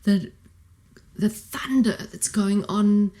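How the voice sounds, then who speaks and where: A woman's voice: medium pitch, slow, dark tone. A South African woman co-hosting a podcast, asking a long, searching question with hesitant phrasing in a studio. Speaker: podcast co-host. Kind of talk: interview questions. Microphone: studio condenser mic with headphones.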